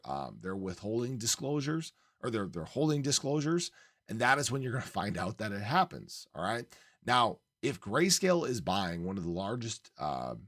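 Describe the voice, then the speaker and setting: Man, crypto vlog host, lowish voice, slightly hoarse, emphatic, dry close sound. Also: no echo. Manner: upbeat, emphatic, leans on "again" and "right?". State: slightly hoarse, losing his voice. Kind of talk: casual market commentary to camera.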